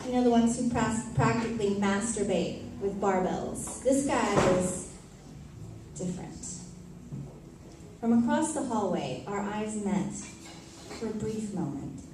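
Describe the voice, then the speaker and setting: A woman reading a first-person monologue on stage in a theatrical, deliberate way, with emphatic delivery and pauses. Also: medium pitch, lively intonation. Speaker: reader performing a monologue. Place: live reading event in a tavern. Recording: echoey tavern room, distant mic, noisy.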